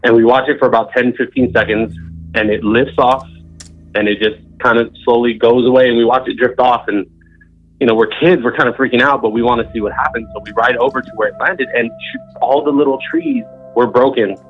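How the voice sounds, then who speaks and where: A man's voice: mid-range voice, steady tone, a little fast. A man in his thirties from Southern California, calling in to tell a story in a laid-back, rambling way. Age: 30s. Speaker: caller. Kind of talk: casual phone-in storytelling. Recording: muffled phone line with faint music.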